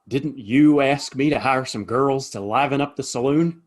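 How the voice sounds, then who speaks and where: A man's voice: medium pitch, normal speed, natural voice. Man playing earnest, hesitant Western bartender. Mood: earnest protest. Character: decent, apologetic saloon bartender in Old West. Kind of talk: Western audio drama acting.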